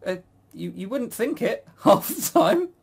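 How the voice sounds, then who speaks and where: A man's voice: extremely high pitch, very animated, unhurried, creaky, crowd noise. A man hosting a casual chat about games, with a sarcastic, mock-grumbling manner. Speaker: podcast host. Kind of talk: casual chat reviewing visual novels.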